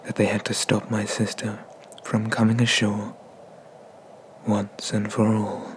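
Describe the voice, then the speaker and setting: A man playing a haughty, vengeful dragon god in an ASMR roleplay, speaking with deliberate, disdainful menace and often slipping into whispers. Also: lowish voice, completely flat delivery, a little hiss or hum, close to an everyday voice. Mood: bitter, wistful.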